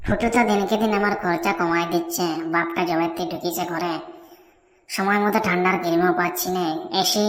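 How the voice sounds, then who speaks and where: A woman's voice: lowish voice, steady tone, a little fast, long reverb tail, a little hiss or hum, natural voice. A woman voicing a flirtatious, demanding married village woman, bawdy and scheming, in a comedy cartoon. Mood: discontented, scheming.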